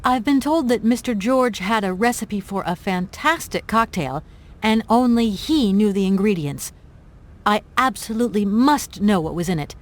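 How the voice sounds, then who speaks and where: A woman's voice: mid-range voice, lively intonation, moderate pace, natural voice. A woman playing a determined, polite young adventurer in a video game, speaking in an earnest, courteous way. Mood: earnest, urgent. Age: young adult.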